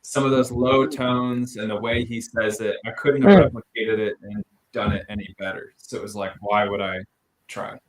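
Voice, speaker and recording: A man who sang on the album, chatting in a relaxed, thoughtful way with dry humor. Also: fairly low pitch, normal speed, over a noisy crowd, poor audio. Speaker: singer on the album. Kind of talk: casual chat.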